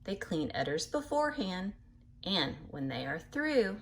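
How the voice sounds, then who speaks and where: Slow-paced woman reading aloud to children. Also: mid-range voice. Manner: animated, acts out animal sounds, addresses young listeners; explains patiently in simple words. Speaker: read-aloud storyteller for children. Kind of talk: expressive picture-book reading with simple explanations for children.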